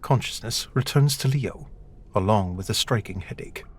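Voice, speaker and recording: A man playing the serious narrator of a scripted adventure audio drama, with a husky voice. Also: fairly low pitch, normal speed. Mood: measured.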